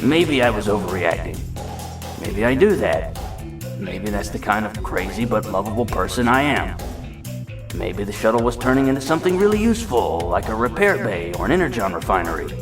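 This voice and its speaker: Guy doing a confused robot on the run, lowish voice, rambles to himself, audio drama, bit distant w music behind. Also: very animated, moderate pace. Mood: frantic, wry. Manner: rambling, self-questioning monologue. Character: amnesiac robot fugitive, reckless, jumpy and self-mocking.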